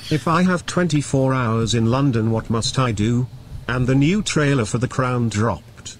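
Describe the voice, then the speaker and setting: A man's voice: medium pitch, a little slow, a little hiss or hum. Guy reading donation messages, plain mid voice, straight read. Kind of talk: reading viewer messages aloud.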